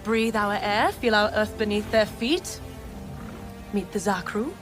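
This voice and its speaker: A reverent alien clanswoman, acted by a woman in a video game, mid-pitched and deliberate. She speaks calmly and poetically over clear music. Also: natural voice. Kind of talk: video game cutscene acting. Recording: music behind the voice.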